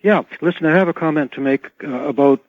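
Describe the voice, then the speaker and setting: A man's voice: on the high side, fast, dry recording. A man from Canada calling a radio show, earnestly laying out a comment and a question. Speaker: radio caller. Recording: dull, dry telephone call to radio.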